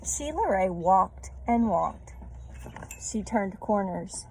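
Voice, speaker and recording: A woman's voice: mid-range voice, lively intonation, slow pace, darkish tone, a little hiss or hum. A woman reading a children's story aloud, adding chatty asides and little questions to her listeners. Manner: checks in with listeners using tag questions.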